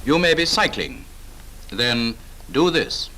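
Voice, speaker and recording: A man's voice: medium pitch, lively intonation, takes it slowly, sharp tone, slight background noise, low-quality recording. A British man narrating a 1940s instructional film in a clipped, authoritative, instructive manner, on an old film soundtrack.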